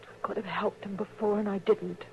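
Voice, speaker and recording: An actress playing a grim, resolute frontier woman in a 1950s radio drama, speaking flatly. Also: mid-range voice, moderate pace, vocal fry, a little hiss or hum, lightly acted. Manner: flat and quietly determined. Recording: dull old radio recording.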